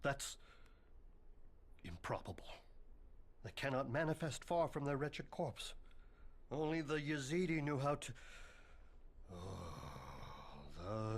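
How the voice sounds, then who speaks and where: A man playing a morbid, pompous, bookish headmaster of an occult academy in a video game cutscene, speaking in ornate, deadpan phrases. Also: medium pitch, lightly acted.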